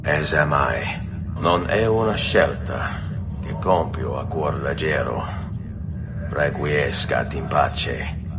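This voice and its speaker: An actor playing a grave, resolute assassin of Renaissance Italy in a video game, with an Italian accent and measured, formal speech. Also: male voice, extremely low pitch, background noise, exaggerated voice. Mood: grave, solemn. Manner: measured, solemn, formal phrasing. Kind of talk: dramatic video game cutscene acting. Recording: music behind.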